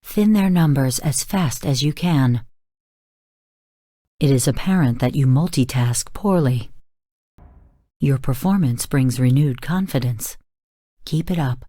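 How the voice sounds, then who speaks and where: A cool female artificial intelligence coaching in a combat game. Her voice is deep for a woman and rather mellow, with a dry studio closeness. She is formal, clipped and deadpan, exacting and bluntly critical. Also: unhurried, long pauses, no room sound. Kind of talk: scripted game voice lines.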